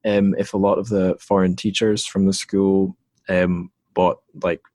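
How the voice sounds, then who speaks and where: Man, low voice, podcast host, relaxed chat, dry close sound. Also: measured pace, dry recording. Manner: relaxed, chatty, with hedging fillers. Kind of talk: conversational interview.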